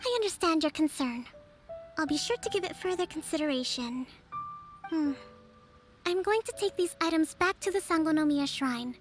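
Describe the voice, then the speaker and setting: A composed young priestess speaks with quiet authority in a game. Her voice sits high and clear, every sentence measured and formal. Soft music plays underneath. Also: animated. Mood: calm. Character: young female divine priestess, calm and measured. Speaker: island leader.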